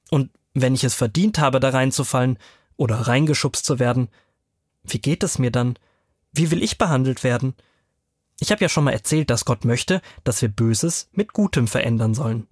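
A man's voice: mid-range voice, a little mellow, dry recording, studio quality. A man as a guest giving faith advice to children, explaining simply in a patient, reflective way.

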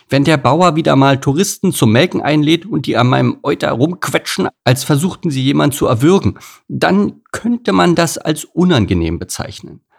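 A man's voice: on the high side, gravelly, breathy edge, voice pushed up, heavily acted. A man voicing a melodramatic, indignant female cow who whines and complains shrilly in a storybook. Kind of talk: storybook character voice.

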